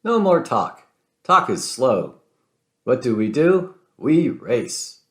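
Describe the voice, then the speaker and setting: Man doing a boastful, slow-talking Italian race car. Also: mid-range voice, very animated. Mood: proud, declarative. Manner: pompous, speaks of himself in third person. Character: boastful Italian male race car, grandly self-assured. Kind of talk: children's storybook character voice.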